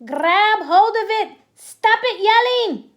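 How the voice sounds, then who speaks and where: A woman's voice: very high voice, a little slow, highs cut off, voice pushed up. Shrieking cruel witch queen, as a woman puts her on. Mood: shrill, commanding. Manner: screeching commands. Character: shrieking, cruel old female witch leader.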